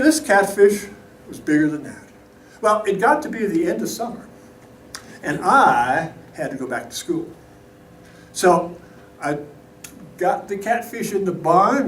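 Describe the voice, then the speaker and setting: A distant, echoey recording of a man performing a tall tale on stage. His voice is high and swings widely in pitch. He tells the story in a folksy, theatrical way. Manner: folksy, animated, acts out dialogue. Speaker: contest speaker telling a tall tale. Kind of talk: theatrical humorous storytelling to a live audience. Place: indoor meeting room at a live event. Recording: echoey room, distant mic, background noise.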